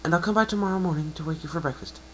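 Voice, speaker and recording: A man's voice: fairly high pitch, rapid-fire, fairly bright tone, slight background noise, voice pushed up, close to an everyday voice, poor audio. A man voicing a kind, studious young female unicorn pony in an amateur story reading. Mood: helpful.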